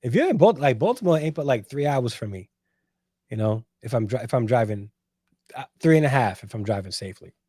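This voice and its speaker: A man's voice: mid-range voice, lively intonation, fairly quick, warm tone, breathy edge, no room sound, studio quality. A man in his forties hosting a casual livestream, reading chat and answering with playful, teasing banter. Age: 40s. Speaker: host reading live chat.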